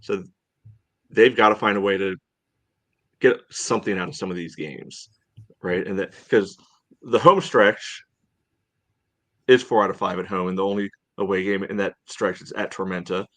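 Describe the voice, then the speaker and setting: A man co-hosting a soccer podcast in casual discussion, thinking aloud in a halting way. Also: sits low, fairly even intonation, a bit bright.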